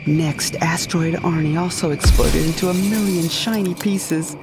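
A woman narrating a scripted first-person comedic sci-fi audio drama in a deadpan storytelling manner. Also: fairly low pitch, moderate pace. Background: music.

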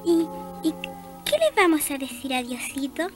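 A woman's voice: high voice, very animated, unhurried, soft background music. A woman playing a shy, eager little girl in a radio drama, with a simple childlike way of talking. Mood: shy eagerness. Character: shy, eager younger girl learning to pray.

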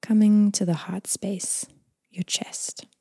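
Female meditation guide, breathy near-whisper, calm unhurried delivery, very dry close recording. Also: mid-range voice, no room sound. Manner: gentle, unhurried, calming delivery with long phrases trailing off. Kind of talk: guided body scan meditation, slow and soothing.

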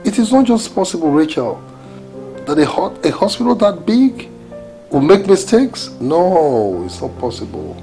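Slow, emphatic Nigerian husband, low-voiced. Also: male voice, expressive, gravelly, a little mellow, faint music behind, full character voice. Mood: agitated, insistent. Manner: emphatic, repetitive, deliberate. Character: agitated, dramatic husband worried for his brother. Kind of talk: Nollywood domestic film drama.

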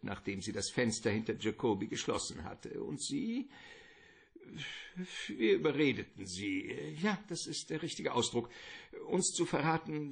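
An actor playing a wordy, courteous, calculating middle-aged crime boss in a radio detective drama, with theatrical politeness. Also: male voice, mid-range voice, vocal fry, slightly rough, exaggerated voice.

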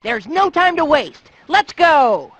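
A woman playing a cocky, adventurous boy hero in a cartoon game, with a husky, bold, breezy delivery. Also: high voice, big pitch swings, slow pace, background noise, heavily acted. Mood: brisk, upbeat. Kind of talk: cartoon video game acting.

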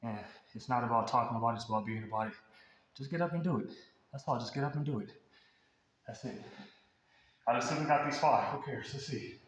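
A man's voice: mid-range voice, fast talker, pauses often, heavy reverb, long reverb tail. A man in his thirties casually vlogging his workout, muttering to himself between sets in a large empty indoor gym, recorded by a camera far across the room. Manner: relaxed, muttering to himself between sets, often quiet and half-whispered. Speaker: fitness vlogger.

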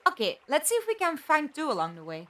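A woman's voice: high-pitched, huge pitch swings, fast, fairly bright tone, heavily acted. A woman acting a composed, logical amnesiac captive in a fan-made audio drama, with dry, formal wording. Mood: questioning.

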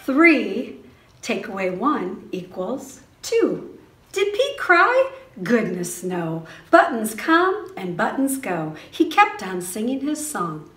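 Animated, high-voiced woman reading to preschoolers. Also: takes it slowly. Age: middle-aged. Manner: sing-song, expressive, exclamatory delivery for young children. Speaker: preschool director and teacher reading to children. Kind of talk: animated picture-book read-aloud for preschoolers. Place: small indoor preschool classroom. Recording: roomy indoor echo.

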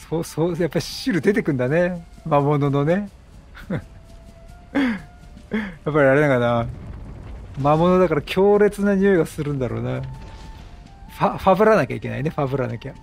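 A man giving casual video game commentary, chatty and jokey, reacting out loud with exclamations. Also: fairly high pitch, lively intonation, normal speed, vocal fry, soft background music. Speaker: game streamer.

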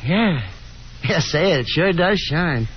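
A confident young suitor in an old radio play, with a highish, very lively voice. He talks in hearty slang and keeps encouraging. The sound is a dull 1950s broadcast with music behind it. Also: slow pace, a little hiss or hum. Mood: playful, coaxing. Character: breezy, confident young gentleman caller coaxing a shy girl. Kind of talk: 1950s radio drama acting.

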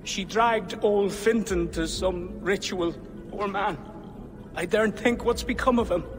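High-voiced frightened Irish commoner. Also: male voice, in a large hall. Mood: horrified. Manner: shaken, halting. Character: frightened Irish commoner man, shaken survivor. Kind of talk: video game cutscene acting.

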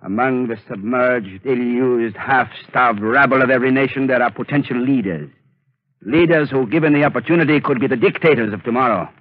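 An actor in 1930s radio drama playing a scheming male villain who controls minds, speaking smoothly and theatrically. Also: medium pitch, fairly quick, dry recording, lightly acted. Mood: menacing. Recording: tinny old radio broadcast recording.

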